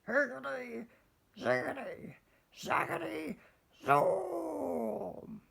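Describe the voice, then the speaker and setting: A man voicing an excitable female storybook witch in a high falsetto, theatrical and exclaiming. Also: very slow, vocal fry, breathy edge, heavily acted. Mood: gleeful.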